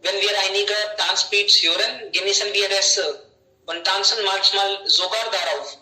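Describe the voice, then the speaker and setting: A man's voice: sits high, steady tone, measured pace, sharp tone. A man talking in a group conversation over a video call, searching for words with many fillers. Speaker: German learner. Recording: roomy sound, distant mic.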